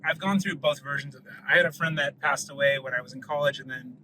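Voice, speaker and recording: A man's voice: medium pitch, fairly even intonation, quick pace. A man hosting a casual chat in a car, joking and laughing often. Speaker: podcast host. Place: inside a car. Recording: noisy car interior.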